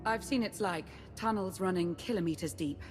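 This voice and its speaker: A woman's voice: on the low side, normal speed, long reverb tail, soft background music, close to an everyday voice. An actress playing a dutiful female military adviser in a video game, reporting in a businesslike way.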